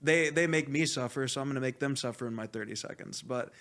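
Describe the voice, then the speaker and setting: Male coach, animated and outspoken, rambling candidly in a casual interview, mid-range voice. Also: medium pitch, fast talker. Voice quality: loud voice. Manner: animated, rambling, heavy use of "like"; blunt, swears freely. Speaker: esports coach being interviewed.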